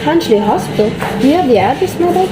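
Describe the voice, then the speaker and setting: Woman playing impassive operator over loud music. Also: high-pitched, lively intonation, fast talker, rough recording. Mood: detached. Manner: formal, detached. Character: curt, impassive telephone directory operator. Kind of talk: radio play acting.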